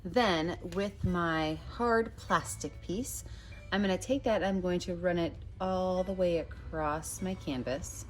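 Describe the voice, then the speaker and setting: Low-voiced woman teaching painting. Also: slow pace. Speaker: art teacher, host of painting tutorial. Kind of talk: instructional step-by-step painting tutorial. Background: background music.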